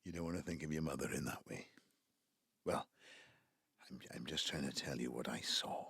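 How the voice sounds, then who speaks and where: Husky, low-voiced Welsh patriarch. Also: male voice, sharp tone, dry recording, studio quality, heavily acted. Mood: reminiscing. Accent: South Wales Valleys. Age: 70s. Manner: halting, reflective, breaking into low muttering. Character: embittered ageing Welsh patriarch, wistful and self-justifying. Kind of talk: naturalistic audio drama monologue.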